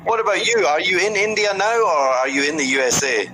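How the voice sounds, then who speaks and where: A man from Scotland, tutoring English over a video call in a patient, encouraging way and explaining words slowly. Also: sits high, lively intonation, pushed and bright, slight background noise, poor audio. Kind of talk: casual conversation lesson.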